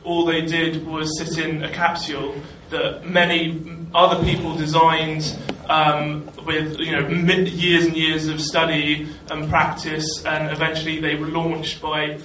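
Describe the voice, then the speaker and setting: A man's voice: fairly high pitch, monotone, unhurried, few pauses, bright, forceful tone. A man asking a question from the audience, hesitant with many fillers. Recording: echoey hall, distant mic, background noise.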